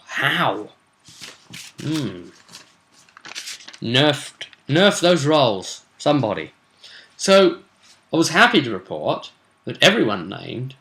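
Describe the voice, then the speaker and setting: A man with a very bright, forward voice at a middle pitch talks through a tabletop role-playing session as its game master. He explains things informally and reports results with enthusiasm, dropping in chatty asides. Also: slight background noise.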